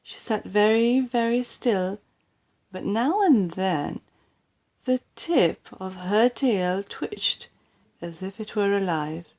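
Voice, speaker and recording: A woman with a British accent narrating a children's storybook expressively, with deliberate dramatic emphasis on key words. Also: mid-range voice, animated, darkish tone. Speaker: storybook reader.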